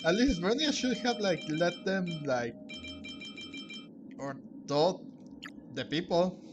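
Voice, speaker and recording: A man with a fairly high voice gives casual gameplay commentary. He rambles and thinks aloud in an unscripted way, with clear game music playing behind him. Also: big pitch swings, slow, highs cut off. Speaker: gamer recording a playthrough. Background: video game music.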